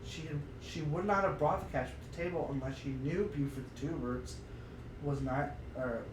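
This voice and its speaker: A man co-hosting a podcast in informal, exclamatory chat, thinking out loud as he goes. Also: on the high side, moderate pace. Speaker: podcast co-host. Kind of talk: casual chat, reasoning aloud and reading story passages. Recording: roomy, noisy livestream, distant from mic.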